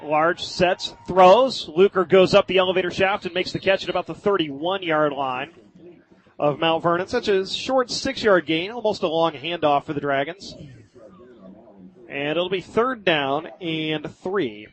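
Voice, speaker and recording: An American man doing live radio play-by-play of high school football in vivid, colloquial language. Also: a little slow, low-quality recording.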